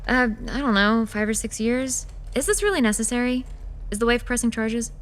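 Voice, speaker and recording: A woman's voice: on the high side, normal speed, natural voice. An actress playing a dry, sarcastic young woman mortician who sees monsters, in a horror audio drama. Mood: guarded, deadpan. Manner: dry, sarcastic, blunt, swearing. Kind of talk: audio drama acting with confiding asides.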